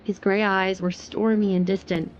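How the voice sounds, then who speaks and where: A woman's voice: medium pitch, flat delivery, moderate pace, muffled recording, a little hiss or hum. A woman narrator reading a scripted third-person romance story for an audio drama.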